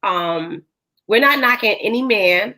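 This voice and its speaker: A woman with a hoarse voice, hosting a podcast in a casual chat full of fillers. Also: medium pitch, lively intonation, measured pace, sharp tone. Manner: chatty, filler-heavy. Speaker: podcast host.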